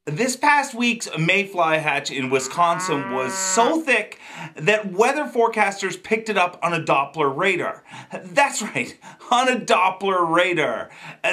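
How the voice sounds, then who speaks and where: Animated, bright-voiced man hosting comedic fishing news. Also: high voice. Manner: jokey, exaggerated delivery with emphatic pauses and asides. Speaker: fishing show host. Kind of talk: comedic scripted news commentary to camera.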